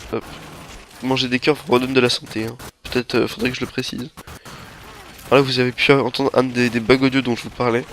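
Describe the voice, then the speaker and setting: A young man with a stuffy nose from a cold, giving rambling, halting gameplay commentary into a headset mic. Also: medium pitch, fairly bright tone, a little hiss or hum. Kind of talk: game walkthrough commentary. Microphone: headset microphone.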